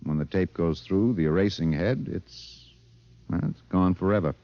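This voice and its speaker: An actor playing an excitable, insistent radio reporter with an urgent, stammering delivery, in 1950s radio drama style. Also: male voice, low-pitched, normal speed. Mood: resigned. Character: excitable, insistent male radio reporter, breathless then resigned. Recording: dull vintage radio broadcast recording.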